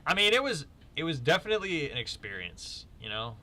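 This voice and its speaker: A man in his twenties chatting casually over a game, telling stories in a halting way and repeating phrases. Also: on the high side, sing-song, pushed and bright. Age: 20s. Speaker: gamer telling stories while playing. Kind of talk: casual banter over gameplay.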